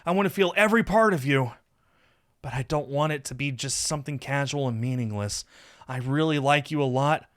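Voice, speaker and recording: An affectionate young wizard from a romance visual novel, as a man acts him out. The voice is pushed high and sounds theatrically emotional. He comes across as playful, coaxing and a little pouty. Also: a little fast, fairly bright tone, lightly acted. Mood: tender, passionate. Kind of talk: visual novel character reading.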